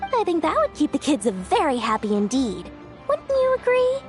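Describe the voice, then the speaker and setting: A greedy, cheerful young merchant girl from a video game, pitching her wares in a bubbly sing-song. Her voice is very high and bounces lively up and down. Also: moderate pace, over music. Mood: cheery salesy.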